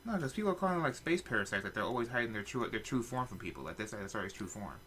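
A man's voice: mid-range voice, very animated, rapid-fire, pushed and bright, a little hiss or hum. A man bantering casually as he comments on a video game with friends. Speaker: gaming commentator. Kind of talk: casual banter over gameplay. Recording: other voices chattering behind.

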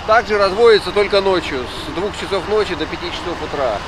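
A man's voice: high voice, drawn-out words. A middle-aged man riding a motorbike and explaining the city's sights to his passenger like a tour guide. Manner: informative, steady explaining. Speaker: motorbike rider and local guide. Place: outdoors, riding a motorbike in city traffic. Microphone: action camera on the bike. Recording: loud road and wind noise. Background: traffic and wind.

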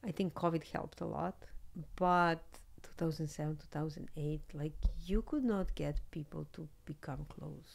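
A woman in her thirties from Serbia with a Serbian accent, chatting candidly as a guest on a podcast in a small studio, speaking close into a boom microphone. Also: moderate pace, creaky, few pauses. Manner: candid, animated, laughs often. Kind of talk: casual interview chat. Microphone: studio mic on boom arm, headphones.

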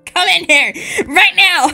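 A woman's voice: extremely high pitch, creaky, sharp tone. A woman improvising a game roleplay with friends in a playful, dramatic way. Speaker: player in a video game roleplay.

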